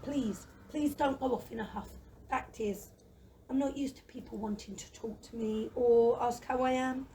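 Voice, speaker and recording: A woman in a children's audio play voicing a gentle, lazy, timid dragon who chatters in a plaintive, excitable, wordy way. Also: on the high side, moderate pace, close to an everyday voice. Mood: chatty, confiding. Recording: noisy, slightly distant microphone.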